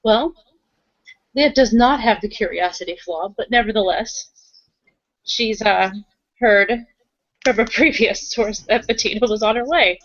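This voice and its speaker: Higher-voiced woman playing a roleplay game. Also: measured pace, long pauses, fairly bright tone, no room sound. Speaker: roleplay player. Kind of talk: casual narration of her character's actions. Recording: dull lo-fi voice recording.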